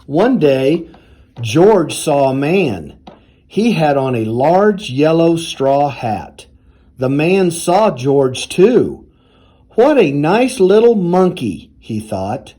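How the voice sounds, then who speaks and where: Mellow, lively man reading a storybook. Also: fairly high pitch. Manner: deliberate, expressive storytelling. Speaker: university athletics director reading aloud. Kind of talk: children's storybook read-aloud.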